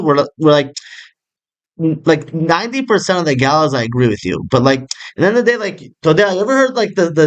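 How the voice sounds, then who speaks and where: A young man in casual, rambling talk, swearing freely, peppering every line with "like" and "bro" and dropping in Hebrew words. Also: a little high. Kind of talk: casual chat.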